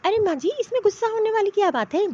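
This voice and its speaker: A woman's voice: extremely high pitch, expressive, fast talker, warm tone. A woman voicing a young daughter-in-law in a cartoon family story, offhand and defensive as she makes excuses. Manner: offhand, defensive, brushes complaints aside. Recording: dull, lo-fi cartoon voice track.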